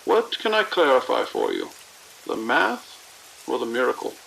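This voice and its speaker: An actor playing a proud research scientist in an audio drama, explaining in a condescending, grandiose and articulate manner. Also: male voice, mid-range voice, wildly swooping pitch, measured pace, creaky, fairly bright tone, exaggerated voice, poor audio. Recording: hiss and rain-like noise.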